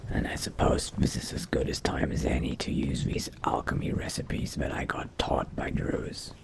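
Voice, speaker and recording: A man playing a male dark elf vampire mage in a video game roleplay, speaking in a hushed, deliberate, brooding way. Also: lightly acted. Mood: muttering, hushed. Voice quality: husky, whispery, gravelly. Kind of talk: in-character game roleplay monologue.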